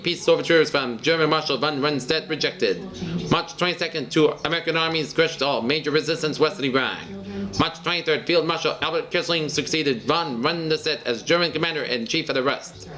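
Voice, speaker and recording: A man with a slightly high voice narrates a newsreel. He reads scripted, dated headlines like an announcer, in short clipped phrases, moving from one item to the next. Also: bright, forceful tone, low-quality recording.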